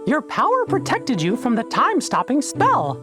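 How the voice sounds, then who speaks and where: A man voicing a kindly, earnest mouse in an animated game, in a squeaky falsetto with a gentle storytelling manner. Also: normal speed, over music, full character voice. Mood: recounting. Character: kindly, earnest male cartoon mouse leader. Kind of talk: animated game character acting.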